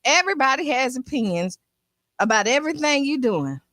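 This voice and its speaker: A woman co-hosting a morning radio show, with a squeaky voice, talking in a blunt, animated way and laughing often. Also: fairly quick, dry recording, studio quality. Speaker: radio co-host. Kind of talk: casual morning radio chat.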